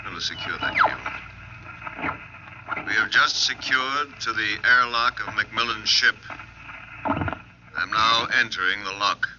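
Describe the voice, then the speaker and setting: An actor playing a terse, urgent general reporting from a rescue spaceship over a throat-mic radio in a 1950s radio drama. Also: male voice, on the low side, wildly swooping pitch, takes it slowly, pauses often, heavily acted. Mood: tense, businesslike. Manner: clipped, procedural, forceful reporting. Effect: throat-mic radio transmission. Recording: dull, noisy radio transmission.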